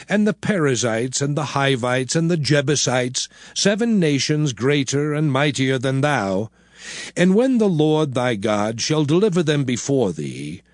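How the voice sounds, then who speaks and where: An actor playing a solemn, commanding prophet and lawgiver in dramatized scripture, with measured oratory. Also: male voice, mid-range voice, no room sound, studio quality. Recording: music bed behind the voice.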